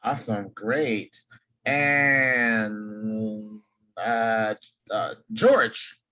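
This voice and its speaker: A man's voice: lowish voice, fairly even intonation, extremely slow, sharp tone. A man from Los Angeles hosting an online workshop, cheerfully calling on each participant by name. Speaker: workshop host. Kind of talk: video call introductions. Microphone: video call.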